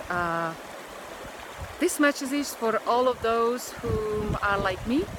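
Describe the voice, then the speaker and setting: A woman with a Hungarian accent who is not a native English speaker, talking hesitantly to camera in a casual vlog, outdoors by a river. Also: a little high. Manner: hesitant, with many pauses and fillers. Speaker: vlogger. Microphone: handheld camera or phone at arm's length. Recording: outdoor recording with rushing water noise. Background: rushing river water.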